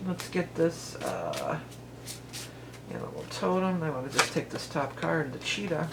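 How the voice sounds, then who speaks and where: A woman's voice: big pitch swings, measured pace, gravelly, heavy background noise. Rambling, chatty woman tarot reader. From Cleveland, Ohio. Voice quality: lower voice than she once had. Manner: rambling, chatty, many fillers and restarts. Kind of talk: casual tarot reading vlog.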